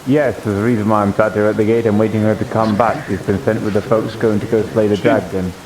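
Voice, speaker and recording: A man's voice: on the low side, steady tone, quick pace, a little mellow, natural voice, low-quality recording. A man playing a wry, dutiful one-eyed inquisitor guarding a gate in improvised roleplay. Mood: dutiful, teasing. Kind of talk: improvised in-character roleplay banter. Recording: rain noise behind the voice.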